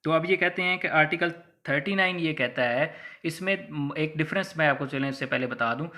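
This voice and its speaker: A man's voice: on the high side, fairly quick, bright, forceful tone. A man with a Pakistani accent lecturing in a conversational, didactic way, explaining step by step and mixing in English legal terms. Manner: didactic, conversational, acts out hypothetical examples. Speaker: law lecturer.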